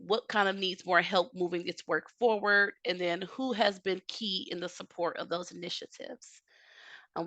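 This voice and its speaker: A woman presenting an online training session, a bit hoarse from a cold, explaining steadily with frequent "um" fillers. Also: fairly low pitch, pushed and bright, no echo. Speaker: technical assistance presenter. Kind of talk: webinar presentation. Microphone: video call.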